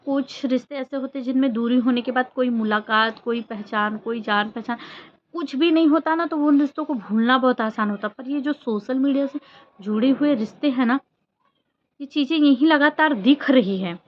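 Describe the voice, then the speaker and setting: A woman vlogger giving casual opinion commentary in an emphatic, conversational way with rhetorical questions. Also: fairly high pitch. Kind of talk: casual opinion commentary on social media drama. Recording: dull lo-fi audio with background noise.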